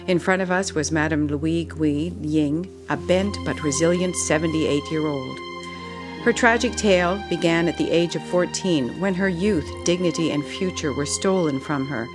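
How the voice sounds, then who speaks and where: A narrator reading a solemn, measured documentary script. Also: mid-range voice. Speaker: narrator of a study tour video.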